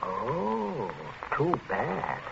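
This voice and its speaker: A man's voice: on the high side, big pitch swings, gravelly, exaggerated voice. An actor playing a controlling middle-aged father, outwardly jovial and coldly menacing, in 1940s radio drama style. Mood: forced joviality. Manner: deliberate, chuckling, stammering when feigning cheer. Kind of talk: 1940s radio thriller acting. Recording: muffled, hissy 1940s radio broadcast.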